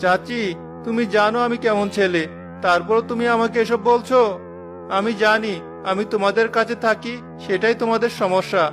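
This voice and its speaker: A man voicing a gentle, wronged young orphan nephew who speaks earnestly, in a cartoon. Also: high voice, fairly even intonation, moderate pace, music in the background, natural voice. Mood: hurt. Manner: earnest, hurt. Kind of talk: cartoon voice acting.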